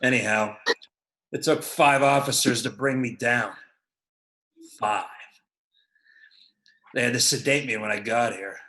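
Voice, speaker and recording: A man voicing Rusty, a hot-tempered male biker brawler, gruff and hostile, in a dramatized script reading. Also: mid-range voice, bright, forceful tone, echoey room. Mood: angry, boastful. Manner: gruff, hostile, quick to anger. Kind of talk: livestream play script reading.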